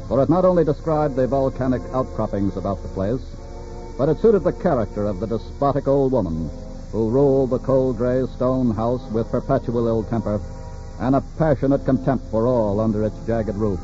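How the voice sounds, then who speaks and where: A man narrating a 1940s radio crime drama as announcer, grave and dramatic in measured phrases. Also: medium pitch, dark tone, faint music behind. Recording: muffled 1940s radio recording.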